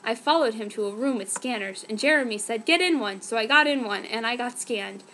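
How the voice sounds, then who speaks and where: A woman's voice: a little high, fairly quick, pushed and bright, a little hiss or hum, rough recording. A woman giving a dramatic, mock-earnest reading of fan fiction as a narrator. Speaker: reader.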